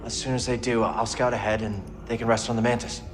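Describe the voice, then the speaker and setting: A man's voice: medium pitch, little pitch movement, quick pace, background noise, natural voice. An actor playing a driven young man, a Jedi fighter in a video game, with a casual, understated delivery. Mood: driven, worried. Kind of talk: cinematic video game acting. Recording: long reverb, music behind.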